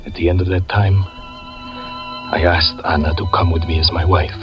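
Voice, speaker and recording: A man playing a brooding, deep-voiced magician. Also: steady tone, natural voice. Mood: solemn. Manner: slow, solemn storytelling. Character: brooding male magician and fortune teller narrating his past. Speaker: narrator. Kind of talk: 1940s radio acting. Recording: dull 1940s radio broadcast with music.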